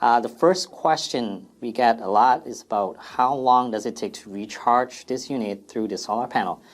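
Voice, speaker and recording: A man's voice: mid-range voice, moderate pace. A man presenting an informal product FAQ demonstration in a plain, step-by-step instructional way.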